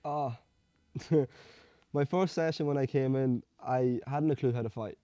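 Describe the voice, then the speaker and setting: A young man from Hungary, an amateur fighter telling his story in a relaxed, rambling way. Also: mid-range voice, measured pace, no echo, dull audio. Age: young adult. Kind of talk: casual interview answers.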